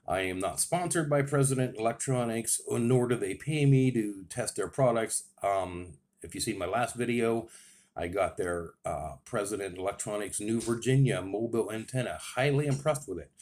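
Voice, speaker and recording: A man's voice: fairly low pitch, moderate pace, a little mellow. An American man giving a casual, enthusiastic and plain-spoken product review as a radio hobbyist.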